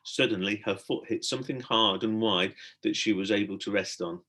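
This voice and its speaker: A man reading a children's adventure story aloud as a teacher would, in expressive storybook narration. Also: lowish voice, moderate pace.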